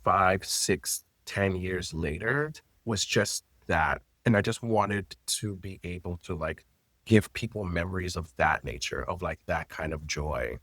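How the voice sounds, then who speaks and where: Low-voiced man telling his story. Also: measured pace, no echo, clean studio sound. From Florida, United States. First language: Haitian Creole. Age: late 30s. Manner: expressive, personal, loose phrasing with frequent fillers. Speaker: guest, intimacy coordinator and teacher. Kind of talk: conversational interview storytelling.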